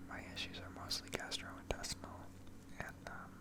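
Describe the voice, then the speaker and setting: Female, whispered voice, ASMR, unscripted ramble, reflective, halting delivery. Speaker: ASMR video creator rambling over gameplay.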